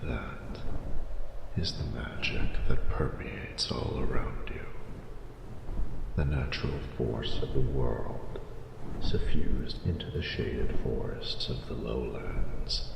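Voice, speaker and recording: A man voicing an ancient, noble male dragon in a romantic audio roleplay, speaking formally and solemnly with tenderness in a gravelly, breathy voice. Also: extremely low pitch, heavily acted. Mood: solemn, reverent. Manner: slow, stately, formal phrasing. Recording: reverberant, echoing, noisy ambient bed.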